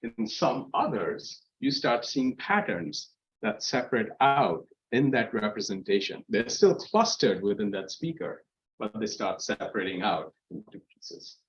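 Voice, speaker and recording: A man's voice: lowish voice, big pitch swings, unhurried, lo-fi sound. Man giving an academic seminar. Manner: explanatory, with frequent fillers and restarts. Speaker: guest lecturer. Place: lecture room.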